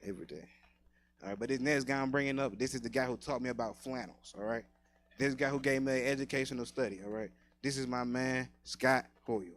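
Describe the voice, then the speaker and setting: A man's voice: mid-range voice, fast talker, a bit bright, slight background noise. A man hosting a comedy show on stage, bantering in casual slangy talk.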